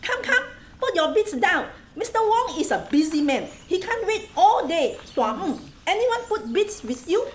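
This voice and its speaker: A woman's voice: very high voice, big pitch swings, a little slow, slight background noise, full character voice. A woman playing a pushy, gossipy younger neighbour in a radio play, with a Singaporean accent. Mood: breezy.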